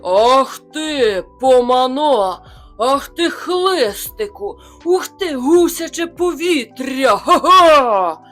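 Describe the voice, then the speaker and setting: A woman's voice: animated, very slow, fairly bright tone, soft background music, exaggerated voice. A woman voicing a huge, furious male bear who roars threats in a storybook reading. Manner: roaring, booming threats.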